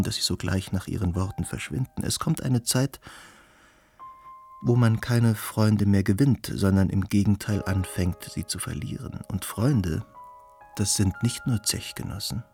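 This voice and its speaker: An actor playing an eloquent, worldly and uncanny gentleman in a radio play, speaking formally and musingly in a husky voice. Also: on the low side, moderate pace, soft background music, close to an everyday voice. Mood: earnest, reflective.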